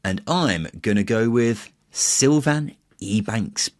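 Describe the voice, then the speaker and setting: A man's voice: mid-range voice, lively intonation, slow, dry recording, clean studio sound. A man hosting a football podcast, chatting casually with playful, teasing banter. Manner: jokey, playful teasing, mild swearing. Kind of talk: casual banter, quiz chat.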